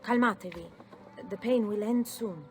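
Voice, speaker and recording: An actress playing a gentle, practical Italian woman tending the wounded in a video game, with an Italian accent. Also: medium pitch, normal speed, slight background noise, lightly acted. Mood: soothing, matter-of-fact.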